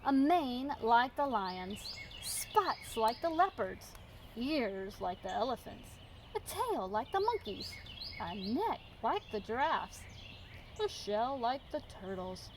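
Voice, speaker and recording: A woman reads a children's story aloud in a fairly high, very lively voice. She tells it with measured emphasis and clear expression. Faint noise sits in the background. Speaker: storybook reader.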